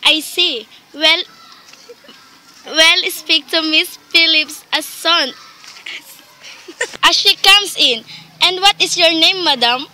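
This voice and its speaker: A woman's voice: very high voice, drawn-out words, pauses often, sharp tone, full character voice, low-quality recording. A woman playing a polite, formal hospital switchboard receptionist in a radio play. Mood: calm, puzzled. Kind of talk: dramatic radio play acting.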